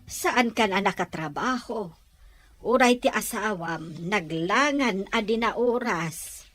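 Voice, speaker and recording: A woman's voice: medium pitch, lively intonation, slow, a bit bright, soft background music. A woman with a Filipino accent playing a concerned, fond older mother in an audio drama. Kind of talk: Ilocano audio drama acting.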